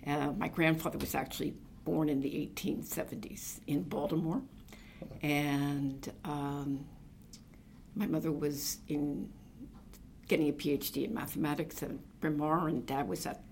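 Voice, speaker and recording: A woman's voice: low voice, fairly even intonation, measured pace, vocal fry, darkish tone, a little hiss or hum. An older woman from New Jersey, reminiscing in an oral history interview, telling meandering, reflective stories full of fillers. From New Jersey, USA. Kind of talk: reminiscing conversation.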